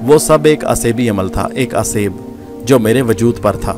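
A man's voice: medium pitch, close to an everyday voice. A man playing a broken, resigned outcast. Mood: resigned pleading. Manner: calm, resigned pleading. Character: broken, ragged man pleading his innocence. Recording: background music.

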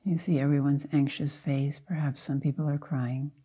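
An older woman leading a guided meditation as a Zen teacher, speaking softly and gently in short, measured phrases with long pauses. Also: sits low, fairly even intonation, slightly rough.

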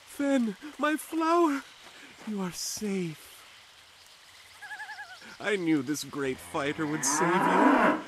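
A man's voice: sits high, animated, extremely slow, long pauses, a bit bright, a little hiss or hum, exaggerated voice. A man playing a doting, foolish, fawning husband character in a video game. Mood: doting, relieved.

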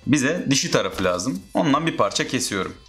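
A man's voice: mid-range voice, sing-song, fairly quick, music in the background. A man narrating a casual step-by-step how-to as a DIY host.

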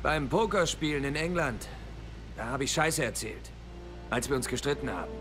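A man's voice: mid-range voice, lively intonation, moderate pace, a bit bright, soft background music, close to an everyday voice. A man playing a remorseful, troubled young soldier in a dubbed war game, earnest and subdued. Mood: guilty, regretful.

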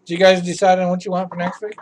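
A man hosting a casual home cooking show with his kids, filmed in a kitchen on the camera microphone, chatty and giving quick instructions. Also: high voice. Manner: relaxed, chatty, gives quick instructions to children. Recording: noisy home video.

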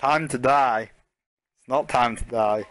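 A man's voice: mid-range voice, measured pace, a bit bright, dry recording. A man hosting a let's play, rambling casually and swearing as he talks through the game and to his chat. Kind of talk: casual gameplay commentary.

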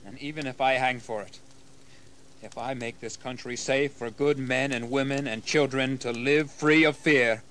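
An actor playing a young colonial rebel leader in an audio history drama, impassioned and declamatory. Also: male voice, medium pitch, fairly even intonation, moderate pace, fairly bright tone, lightly acted, poor audio. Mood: solemn, resolute.

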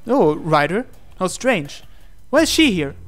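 A man's voice: high voice, big pitch swings, a little slow, a bit bright, soft background music. A man reading a visual novel aloud as a game player, in dramatic storytelling narration.

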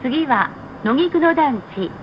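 A woman reading scripted stop announcements on a bus in a measured, formal, polite manner. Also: high voice, lively intonation, music in the background. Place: played over speakers inside a moving bus. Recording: muffled, noisy recording inside a bus. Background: bus engine and road noise.

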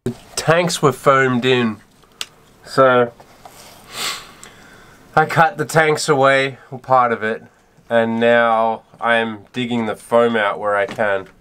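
A man's voice: mid-range voice, fairly even intonation, takes it slowly, long pauses, fairly bright tone, clean tone. A man in his thirties talking casually as he explains the repair work he is doing on a sailboat. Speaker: sailing vlogger doing boat repairs. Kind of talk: casual explaining while working. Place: inside a sailboat and on its deck. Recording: noisy on-location camera audio.

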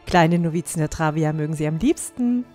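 Woman playing a low-voiced plucky young dwarf huntress. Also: expressive, fairly quick, a little mellow, soft background music. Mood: teasing. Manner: down-to-earth, matter-of-fact, teasing. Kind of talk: fan-made fantasy audio drama.

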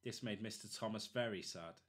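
A man narrating a children's storybook expressively, in short, deliberate phrases. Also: lowish voice, fairly bright tone. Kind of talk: expressive children's read-aloud.

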